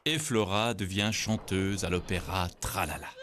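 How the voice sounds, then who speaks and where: A man narrating a scripted children's story in an expressive, theatrical way. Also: deep voice, moderate pace. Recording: music playing behind the voice.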